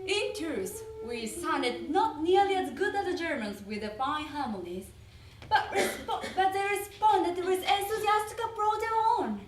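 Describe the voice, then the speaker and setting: A woman's voice: very high voice, animated, moderate pace. A woman giving a theatrical, emphatic dramatic reading of a wartime letter as part of a readers theater. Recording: roomy, distant mic, faint noise.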